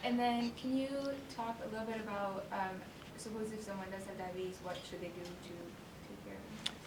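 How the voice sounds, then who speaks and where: A woman's voice: medium pitch, lively intonation, brisk, distant mic. Off-mic woman interviewer in an echoey room. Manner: coaching, encouraging prompts. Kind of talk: off-camera interview prompting. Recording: roomy, off-mic with background noise.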